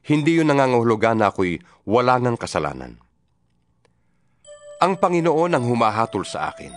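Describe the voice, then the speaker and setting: A man giving a solemn, expressive dramatized reading of scripture, his pitch swinging widely. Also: no room sound, clean studio sound. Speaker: Bible reader. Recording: reading over guitar music.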